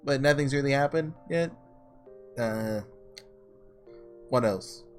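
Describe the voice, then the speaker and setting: A man doing a casual let's play, reading story text aloud and breaking off into excitable asides, with game music behind him. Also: medium pitch, takes it slowly. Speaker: gaming video creator doing a blind playthrough.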